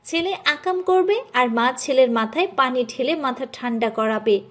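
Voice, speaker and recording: A woman's voice: sits high, moderate pace, fairly bright tone, a little hiss or hum. A woman narrating a dramatic audio story from a script.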